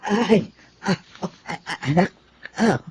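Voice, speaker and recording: A woman's voice: mid-range voice, takes it slowly, darkish tone, slight background noise, natural voice. An actress playing a meek, anxious woman in her seventies in a Filipino audio drama, hesitant and stammering. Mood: hesitant, motherly. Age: 70s. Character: elderly Filipino mother and grandmother, flustered, anxious, meek.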